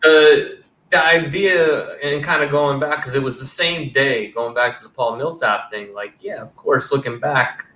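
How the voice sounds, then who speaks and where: A man's voice: mid-range voice, measured pace, bright, forceful tone. A man in his thirties hosting a casual sports podcast over a video call, talking loosely and rambling, with casual swearing. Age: 30s. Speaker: podcast host. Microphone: headset on a video call. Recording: roomy sound with faint background noise.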